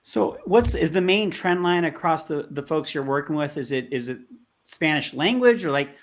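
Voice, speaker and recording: A man's voice: a little high, lively intonation, moderate pace. A man moderating an online panel over a video call, asking casual, rambling questions with frequent restarts.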